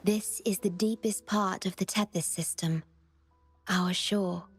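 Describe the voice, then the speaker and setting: An actress voicing a gentle, solemn young woman guardian in a video game, speaking softly and earnestly in a measured, tender way. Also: medium pitch, fairly even intonation, natural voice. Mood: tender, wistful. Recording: game music behind the voice.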